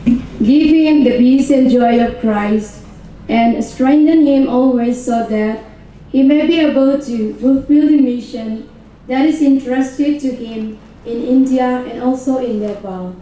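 A woman reading intercessions aloud in a measured, formal way. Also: high-pitched, warm tone, highs cut off. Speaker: reader of intercessions. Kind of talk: reading prayers of the faithful. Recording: very echoey, distant, faint noise.

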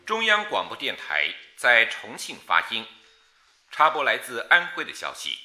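An actor playing a solemn, urgent 1930s wartime radio announcer, reading a bulletin in a formal, declamatory way. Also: male voice, mid-range voice, huge pitch swings, moderate pace, bright, forceful tone, faint music behind, exaggerated voice. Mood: grave, urgent.

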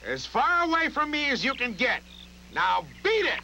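A man voicing a grumpy, bossy adult mallard in an animated fairy tale, brusque and scolding. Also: very high voice, moderate pace, gravelly, slight background noise, heavily acted, poor audio. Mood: irritated. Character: grumpy, bossy adult male mallard guarding his bed.

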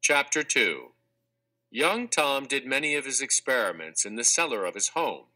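A man narrating a children's textbook read-aloud in a clear, measured, expressive scripted delivery. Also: medium pitch. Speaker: audiobook narrator.

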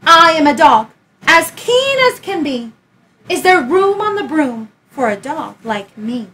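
A woman's voice: high voice, big pitch swings, a little slow, a bit bright, exaggerated voice. A woman voicing an eager, friendly dog from a picture book, with a bouncy delivery.

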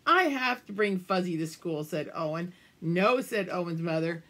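A woman's voice: lowish voice, expressive, moderate pace, fairly bright tone. An older woman reading a picture book aloud to young children, with exaggerated emphasis and friendly asides to her listeners. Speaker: children's storybook reader. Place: small room at home, indoors.

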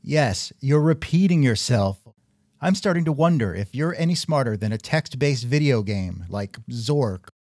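A man's voice: mid-range voice, lively intonation, measured pace, a little mellow, no echo, studio quality. A man hosting a scripted comedic interview show, sardonic and increasingly exasperated. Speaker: podcast host.

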